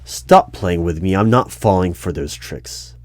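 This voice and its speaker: Man acting out a deep-voiced, unnerved hiring manager. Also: lively intonation. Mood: wary, testing. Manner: halting, repeating words. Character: unnerved, exasperated male hiring manager interviewing a nightmare. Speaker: hiring manager character in an audio roleplay. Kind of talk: one-sided audio roleplay, ASMR interview.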